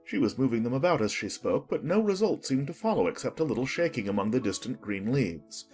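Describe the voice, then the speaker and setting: Male narrator for a children's classic audiobook: animated delivery, mid-range voice, soft music behind. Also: medium pitch, brisk. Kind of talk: scripted audiobook storytelling.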